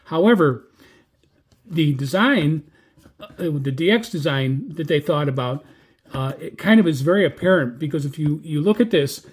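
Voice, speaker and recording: An American man giving a casual, unscripted product review as a gadget reviewer, with a halting, self-correcting delivery. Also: fairly high pitch, animated, husky. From United States. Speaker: radio reviewer.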